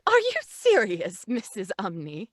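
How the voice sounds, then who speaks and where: A woman's voice: mid-range voice, huge pitch swings, unhurried, no echo, clean studio sound. A woman playing a refined, easily flustered mother in an old-time radio drama, with a fluttery manner. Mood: appalled, firm. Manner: genteel, fluttery. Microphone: studio mic at home, video call.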